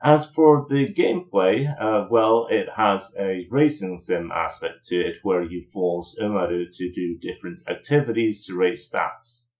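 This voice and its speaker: A man with a fairly low voice talks through a review as a video host. He is informal and opinionated, speaking in a casual commentary style with the odd mild swear word. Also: measured pace, few pauses, highs cut off.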